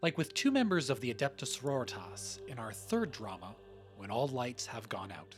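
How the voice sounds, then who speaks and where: Man narrating over clear background music. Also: mid-range voice, very animated, moderate pace. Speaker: podcast host and audio drama producer. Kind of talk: scripted narration of a retrospective podcast. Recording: music playing behind the voice.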